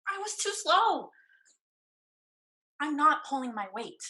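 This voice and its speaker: A woman's voice: high voice, sing-song, slow pace, sharp tone, full character voice. A woman acting in a stage play reading as a devoted, cautious sister who blames herself. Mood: ashamed, downcast. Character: anxious, devoted, limping sister, cautious and self-blaming.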